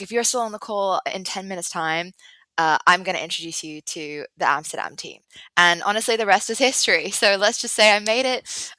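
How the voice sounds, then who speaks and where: A woman's voice: fairly low pitch, sharp tone, no room sound, poor audio. A woman with a mixed international accent, part Australian and part South African, chatting casually as an upbeat podcast guest. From Sydney, Australia, with South African and German background. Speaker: podcast guest, business development director.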